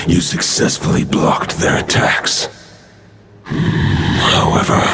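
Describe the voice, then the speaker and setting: A man's voice: very noisy, full character voice. A man voicing an ancient wolf god who speaks in a grave, protective, commanding way. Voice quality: deep gravelly growl. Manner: slow, solemn, commanding. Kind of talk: video game voice acting. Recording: game audio over loud music.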